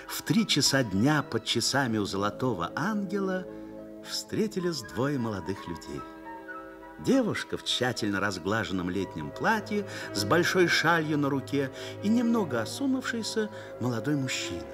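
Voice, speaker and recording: Expressive male narrator, animated pitch. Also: normal speed, music in the background. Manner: expressive, lyrical storytelling. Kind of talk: literary radio drama narration.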